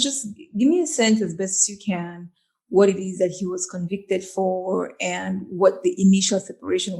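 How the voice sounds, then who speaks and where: A woman's voice: medium pitch, vocal fry, a little mellow. A woman host from Cameroon asks probing questions in a casual interview, often repeating words as she starts. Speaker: podcast host.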